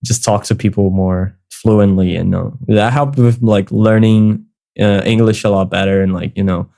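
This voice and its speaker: Laid-back Brazilian man, low mellow voice. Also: normal speed, vocal fry. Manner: relaxed, laughs often, many "like" fillers. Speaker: guest music producer. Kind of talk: casual podcast chat.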